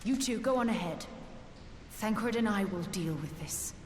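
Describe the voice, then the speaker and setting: A woman playing a composed, resolute, learned sorceress in a fantasy game, with formal, measured, archaic delivery and dry wit. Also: mid-range voice, lively intonation, darkish tone, slight background noise, lightly acted. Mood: calm resolve. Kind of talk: scripted fantasy game cutscene acting. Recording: echoey hall reverb with music behind.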